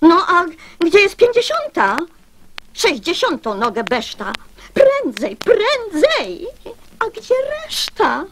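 A woman reciting children's verse as an expressive radio storyteller. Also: very high-pitched, takes it slowly, slight background noise.

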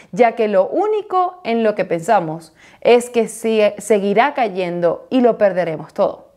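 A woman's voice: medium pitch, darkish tone. A woman in her thirties presenting a scripted explainer to camera as host of an online video channel. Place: small indoor home studio with desk.

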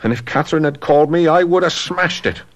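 An actor playing a wild, resentful orphan youth in a radio drama, with a blunt, sullen manner. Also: male voice, a little high, quick pace, lightly acted. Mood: wounded, brooding.

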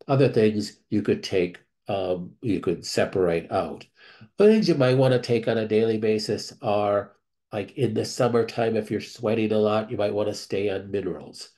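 A man, a clinic doctor, reads member questions aloud and answers them in a measured way, thinking aloud with restarts, over a screen-share video call. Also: on the low side, dark tone.

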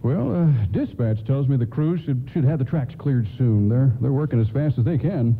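A man's voice: mid-range voice, animated, normal speed, lightly acted. A kindly older railroad conductor played by a man in a radio play. He is folksy and apologetic, stammering over his words. The sound is narrow and faintly noisy, like an old radio. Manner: stammering, hesitant. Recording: thin phone-like band, noisy radio broadcast.

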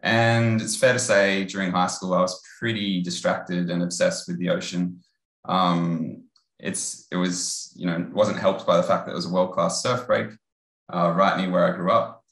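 A man in his thirties with an Australian accent, giving a relaxed online research talk over a video call. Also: low-pitched, reverberant. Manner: relaxed, conversational, with filler pauses. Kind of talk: online lecture presentation. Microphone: earbud headset on video call.

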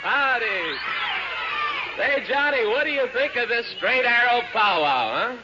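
A man's voice: very high-pitched, lively intonation, unhurried. A man with an American accent hosting a 1940s children's radio show as a hearty, folksy showman. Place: theater stage. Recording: dull, noisy old radio broadcast recording.

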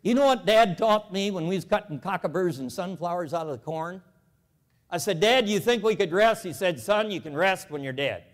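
A man in his seventies giving a lively, folksy, emphatic storytelling speech to a church convention, walking the floor with a lapel mic. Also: sits high, moderate pace, in a large hall. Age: 70s. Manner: animated, folksy, emphatic repetition. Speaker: convention speaker. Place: large convention hall.

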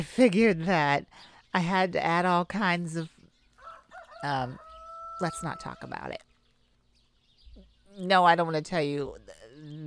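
A woman playing a kind, anxious mage in an ASMR audio roleplay, talking hesitantly and intimately to a childhood friend. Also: low voice. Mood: sheepish, amused. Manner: hesitant, stammering, soft-hearted worrier.

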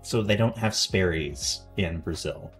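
A man's voice: deep voice, measured pace, faint music behind. A man in his forties hosting a podcast interview from a home office over a video call, asking curious, conversational questions into a headset mic. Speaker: podcast host. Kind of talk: casual interview.

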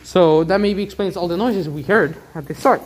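A man's voice: high voice, normal speed, rough recording. A young man filming as he walks through a large abandoned factory, chatting and explaining what he sees. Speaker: vlogger filming. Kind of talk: casual chat. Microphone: handheld camera while walking.

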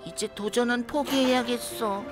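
A woman voicing a young, childlike, stubborn and excitable boy bus in a children's cartoon. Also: fairly high pitch, moderate pace, lightly acted. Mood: sulky. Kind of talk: children's animated series voice acting. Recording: loud children's music behind the voice. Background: children's cartoon music.

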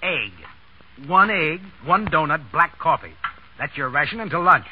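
Wisecracking man, 1930s radio drama, teasing, bossy, animated, dull old recording. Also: on the high side, a little slow. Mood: brisk, bossy. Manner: wry, teasing. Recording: muffled, noisy 1930s radio broadcast.

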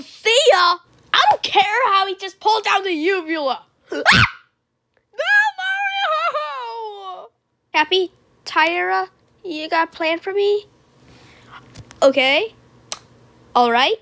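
A woman performing homemade character lines as an amateur voice actor, theatrical, with gasps and drawn-out exclamations. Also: very high-pitched, sharp tone. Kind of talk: homemade character voice lines, animated movie remake.

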